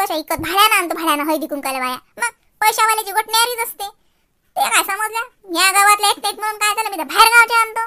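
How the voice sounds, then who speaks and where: A woman acts out a quarrelsome cartoon mother-in-law with an extremely high, childlike pitch and a sharp, bright edge. She boasts and rants in rhetorical questions, with a rural Varhadi Marathi accent from Vidarbha. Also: full character voice. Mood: boastful, angry. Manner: scolding, ranting with rhetorical questions. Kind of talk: exaggerated cartoon comedy acting.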